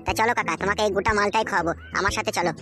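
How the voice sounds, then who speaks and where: Woman voicing a rapid-fire, brash young cartoon slacker. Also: medium pitch, fairly even intonation, lightly acted. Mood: wheedling. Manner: rapid, slangy, bossy. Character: brash scheming young male slacker, foul-mouthed cartoon troublemaker. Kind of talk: comic cartoon dialogue. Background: music.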